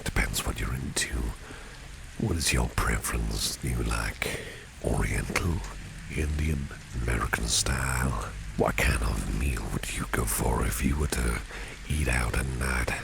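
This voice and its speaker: A man playing a suave, protective businessman boss with a menacing streak in an ASMR roleplay, speaking in a gravelly, intimate half-whisper with courteous insistence. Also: very deep voice, full character voice. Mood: caring, courting. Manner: unhurried, courteous, flirtatiously insistent, repeats phrases. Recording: clear background noise with rain ambience.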